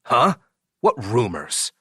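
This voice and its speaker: Man playing an irritable boss, animated and dry-sounding. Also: mid-range voice, slow, husky, dry recording, heavily acted. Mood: exasperated. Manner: terse, exasperated, blunt. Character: irritable, commanding male billionaire boss. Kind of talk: audiobook character dialogue.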